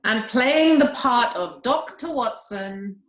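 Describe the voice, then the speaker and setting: Nervy, protesting comic stage actor, played by a woman, lively swooping pitch, echoey internet call. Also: slow. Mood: pedantic, protesting. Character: nervy, defensive male stage actor, easily scared. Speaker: amateur actor in a remote group reading. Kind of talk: comic stage-play reading. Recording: roomy home internet call.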